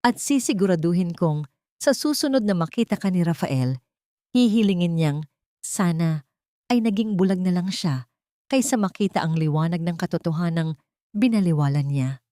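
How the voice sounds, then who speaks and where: A formidable old grandmother, voiced by a woman storyteller, speaks with blunt authority. She is shrewd and solemn, in a slightly low voice with a dry, close sound, as in a dramatic storybook. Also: expressive, moderate pace, mellow, no echo, lightly acted. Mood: solemn, vengeful. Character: stern, shrewd wealthy old grandmother, hardened by experience. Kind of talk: dramatic audio storybook dialogue.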